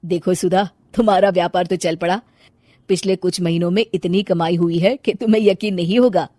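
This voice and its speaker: A woman's voice: lowish voice, fairly even intonation, brisk, dark tone, no echo, clean studio sound, natural voice. A woman playing a supportive, reassuring young husband and son in a cartoon story, speaking confidently. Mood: pleased. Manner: confident, encouraging. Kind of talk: cartoon moral-story acting.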